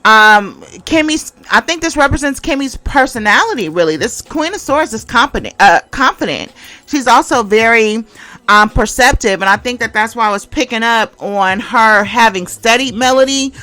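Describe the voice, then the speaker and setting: A woman's voice: a little high, animated, moderate pace, bright, forceful tone, no room sound. A woman giving a casual tarot reading and commentary in a conversational, emphatic way, repeating phrases to drive points home. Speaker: tarot reader and channel host.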